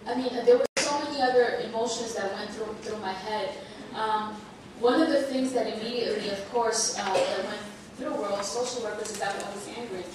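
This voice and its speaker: A woman whose first language is Spanish, a bit under the weather, telling her story to an audience in an earnest, halting way with many restarts. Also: mid-range voice. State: under the weather, slight cold. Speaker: panel speaker. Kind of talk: personal talk to an audience. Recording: echoey hall, far from mic, noisy.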